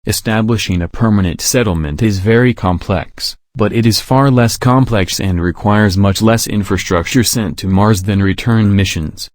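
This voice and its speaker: A man's voice: lowish voice, normal speed, hardly any pauses, dry recording, clean studio sound. A man reading a practice passage aloud in a scripted style for exam preparation.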